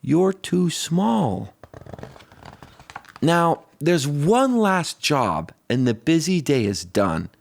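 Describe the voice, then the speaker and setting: Man, children's storyteller, highly animated pitch swings, slow pace, playful drawn-out emphasis. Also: gravelly. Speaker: children's book reader. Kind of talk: expressive read-aloud storytelling of a rhyming picture book.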